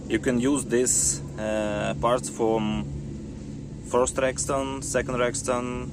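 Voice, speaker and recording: A man from Ukraine with a Ukrainian accent, explaining car parts step by step in halting, non-native English, in a casual how-to style. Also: medium pitch, little pitch movement. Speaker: car hobbyist showing parts. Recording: loud background noise.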